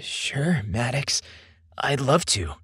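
A man voicing a shy, lovestruck teenage boy with breathy, dramatic delivery in a story podcast. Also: medium pitch, very animated, slow, a bit bright, no echo, clean studio sound, heavily acted. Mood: shy, thrilled.

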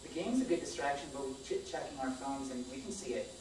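A woman's voice: very deep voice, fast, darkish tone. A woman in her thirties reading a scripted personal story aloud on a small theater stage. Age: 30s. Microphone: far camera microphone. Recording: echoey distant stage recording, faint noise.

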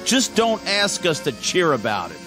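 A bitter enlisted soldier fed up with killing, in a radio war drama. His fairly high voice is blunt and insistent, swinging widely in pitch, over clear background music. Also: huge pitch swings, normal speed. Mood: bitter, defiant.

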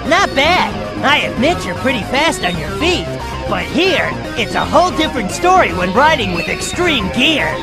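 A man's voice: very high voice, very animated, normal speed, few pauses, full character voice. A man voicing a smug, taunting teenage boy bird, a boastful racer in a video game. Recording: loud music behind.

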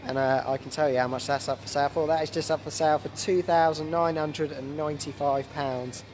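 A man in his thirties with a slightly croaky voice from a cold, casually presenting stock as a dealer on a live walkaround. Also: fairly high pitch, fairly even intonation, brisk, a little mellow, soft background music. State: has a cold. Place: large indoor motorbike warehouse showroom. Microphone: handheld phone. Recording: noisy handheld phone in warehouse.

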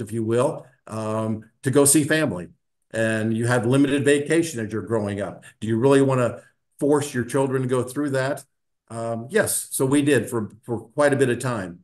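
Older American man, measured and reflective. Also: medium pitch. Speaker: panelist sharing personal story. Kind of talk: personal account on a webinar panel. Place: indoor room, video call. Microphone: video call microphone.

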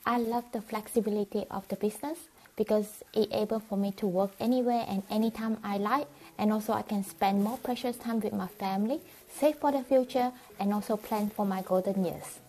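A woman's voice: mid-range voice, fairly even intonation, a little fast, mellow, poor audio. A woman from Australia, a hairdresser, giving a friendly, upbeat testimonial. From Melbourne, Australia. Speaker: hairdresser and online business owner. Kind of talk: promotional testimonial.

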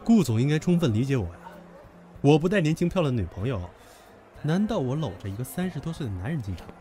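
Guy doing cocky rich young man, pushy n taunting, audio drama, lively pitch, music behind him. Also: fast. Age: young adult. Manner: goading, domineering. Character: brash, possessive young rich man, taunting and hot-tempered. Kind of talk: Chinese audio drama acting. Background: background music.

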